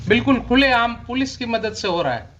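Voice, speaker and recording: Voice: medium pitch, big pitch swings, fairly quick, fairly bright tone, lo-fi sound, slight background noise. A judge with an Indian accent speaking from the bench, stern and admonishing, repeating phrases for emphasis. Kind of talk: courtroom remarks from the bench, switching Hindi and English.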